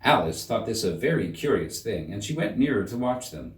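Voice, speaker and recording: A man with a fairly low voice reads a story aloud. His delivery is theatrical and measured, with very animated pitch. The room is echoey around him. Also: distant mic. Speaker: audiobook narrator. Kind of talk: expressive scripted storybook narration. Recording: roomy echo, slightly off the mic.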